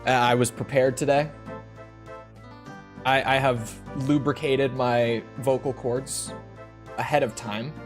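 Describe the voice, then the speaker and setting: A man streams a game and chats to his viewers in a joking, upbeat way. His voice sits in a mid range and sounds a little rough. Faint music plays behind him. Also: medium pitch, little pitch movement, takes it slowly, long pauses. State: slightly rough voice. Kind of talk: casual live-stream chat over gameplay.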